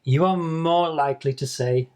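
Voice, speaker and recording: A man's voice: a little high, moderate pace, darkish tone. A man teaching English pronunciation to camera in a patient video lesson, demonstrating sounds and repeating them.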